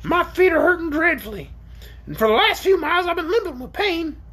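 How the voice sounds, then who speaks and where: A shrill male orc from a storybook. The voice sits very high and swoops about with scorn. It whines and grumbles, plaintive and sorry for itself. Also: sing-song, brisk, sharp tone, heavily acted. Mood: groaning. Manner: plaintive, complaining. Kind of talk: acted storybook character.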